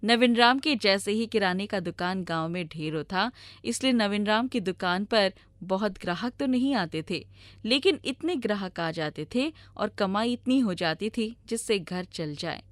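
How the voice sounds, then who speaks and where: A woman's voice: medium pitch, lively intonation, moderate pace, no room sound. Woman narrating a story, dry close recording.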